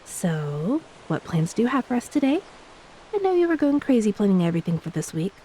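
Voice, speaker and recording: A woman playing a gentle, playful ex-mermaid wife in a romantic audio roleplay, speaking tenderly and teasingly to a beloved spouse. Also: medium pitch, a little fast, close to an everyday voice, low-quality recording. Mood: affectionate, teasing. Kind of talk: romantic audio roleplay, intimate scripted acting. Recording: steady hiss behind the voice.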